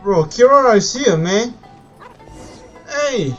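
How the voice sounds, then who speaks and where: A woman gives casual, blunt live-stream commentary at a slow pace with a very lively pitch. She swears freely as she reacts, on a slightly muffled mic with faint game music behind.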